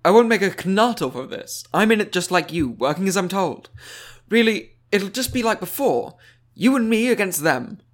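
Male narrator as crafty woman bureaucrat, raised pitch, falsely chummy, breezy, patronizing, audiobook fantasy character. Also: animated, normal speed. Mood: breezily chummy. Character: crafty, condescending female council official, falsely chummy.

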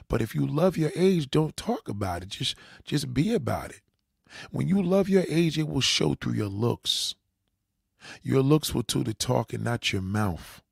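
A man with a gravelly, fry-edged voice at an ordinary pitch lectures bluntly, swearing now and then. He keeps checking that the listener understands. The sound is dry and close. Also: animated, unhurried, dry recording. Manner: lecturing, repeats "you understand?". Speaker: dating advice commentator. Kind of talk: reaction commentary.

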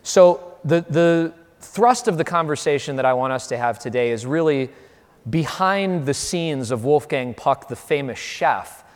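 A man interviewing on stage, with articulate, formal, measured phrasing. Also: on the high side, lively intonation. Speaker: interviewer, event moderator. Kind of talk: prepared onstage interview introduction. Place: large hall, on stage before an audience. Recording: echoey hall, long reverb.

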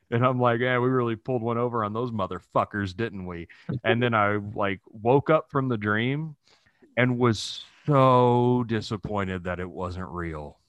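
A man's voice: medium pitch, a bit bright, clear, resonant tone, no room sound. Jokey man in his thirties, dry close recording. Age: 30s. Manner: profane, jokey storytelling with frequent chuckling. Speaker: podcast co-host. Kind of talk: casual comedic chat.

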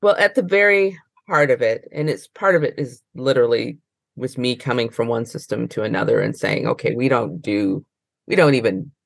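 Woman, creaky gravelly voice, dry close sound, halting explanations, informal interview guest. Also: extremely low pitch, dry recording. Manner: halting, circling explanations with many false starts.

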